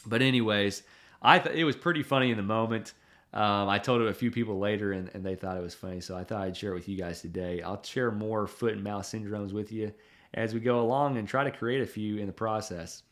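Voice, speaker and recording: A man talks in a slightly low voice, hosting a podcast as a preacher. He rambles casually through a self-deprecating story, peppered with "uh" and "you know.". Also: a little fast, a bit bright. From Tennessee. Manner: chatty, self-deprecating, frequent filler words.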